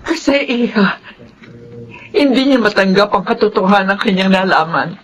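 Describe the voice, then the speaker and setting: Husky, worried Filipino mother. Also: mid-range voice, expressive, normal speed. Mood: regretful. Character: worried, regretful middle-aged adoptive mother. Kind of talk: Filipino radio drama acting.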